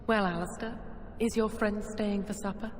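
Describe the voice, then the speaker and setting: An actress playing an eerily sweet, doting sister with a cloying manner in a video game. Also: female voice, medium pitch, steady tone, normal speed, slight background noise, natural voice. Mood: sweetly doting. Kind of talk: dramatic video game acting. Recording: long reverb.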